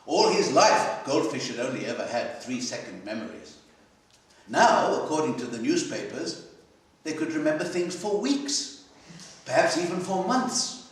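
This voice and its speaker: A man reading literary fiction aloud at a live event, with a measured, dramatic delivery and pauses. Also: mid-range voice, slight background noise. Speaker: story reader at a literary festival. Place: library hall at a live festival. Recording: echoey hall, distant microphone.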